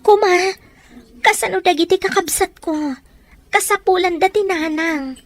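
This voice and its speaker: High-pitched, earnest young Ilocano daughter. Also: female voice, unhurried, faint music behind. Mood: pleading. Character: worried young daughter pleading for her siblings. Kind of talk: Ilocano radio drama acting.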